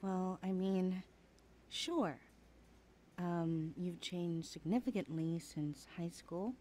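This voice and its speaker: A woman's voice: fairly low pitch, steady tone, slow pace, mellow, a little hiss or hum. A woman playing a chatty, teasing young adult best friend in a casual, hesitant ASMR roleplay. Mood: coaxing, teasing. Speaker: voice actor in audio roleplay. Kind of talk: ASMR roleplay, chatty one-sided conversation.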